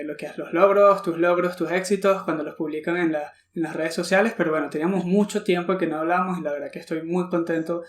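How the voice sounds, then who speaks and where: Male podcast host, higher voice, gushing and repetitive questions, roomy echo on the audio. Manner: effusive, repeats himself while phrasing questions. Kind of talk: friendly interview.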